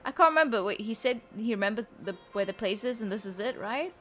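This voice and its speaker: A woman's voice: a little high, animated, fast talker, a bit bright. A young woman giving casual let's-play commentary, rambling informally and musing aloud as she plays. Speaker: gaming video creator. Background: game music.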